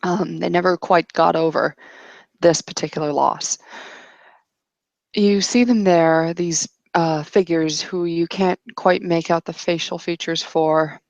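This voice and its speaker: Creaky, low-voiced woman narrating a roleplaying game. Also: fairly even intonation, measured pace, dry recording, dull audio. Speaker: game master. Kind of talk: improvised tabletop roleplay narration.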